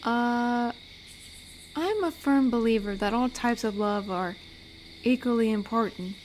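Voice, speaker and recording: A woman acts as an amiable, lonely werewolf guardian in an audio roleplay. Her voice sits a little high and moves very unhurriedly, soothing and reflective. Faint night-time cricket ambience sits behind her. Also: measured pace, darkish tone, slight background noise, natural voice. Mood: comforting, sincere. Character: amiable, protective, lonely female werewolf, comforting and gentle. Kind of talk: intimate scripted audio roleplay. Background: night forest ambience with crickets.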